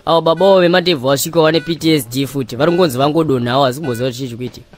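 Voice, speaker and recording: A Zimbabwean man playing a brisk, officious, harried hospital doctor in a comedy cartoon. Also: on the high side, close to an everyday voice. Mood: explanatory. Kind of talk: animated comedy dialogue.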